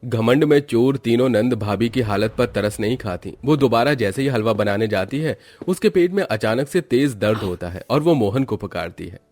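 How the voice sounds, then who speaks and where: A male narrator tells a scripted moral story at a middling pitch. The recording is close and dry, with no room sound. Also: expressive, moderate pace, few pauses, darkish tone, no room sound.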